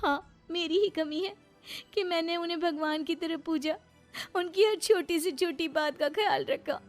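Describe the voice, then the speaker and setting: A woman's voice: very high-pitched, a little slow, soft background music, pitched above the performer's own voice. A woman voicing a meek, devoted young wife in a cartoon, plaintive, hurt and tearful.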